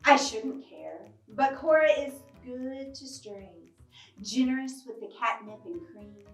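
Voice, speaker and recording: A woman's voice: high voice, animated, takes it slowly, distant mic, pitched above the performer's own voice, heavily acted. A woman voicing a hard-boiled cat detective who narrates a storybook case in a dramatic, clipped noir manner. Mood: brooding, determined. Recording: roomy, off-mic, music behind.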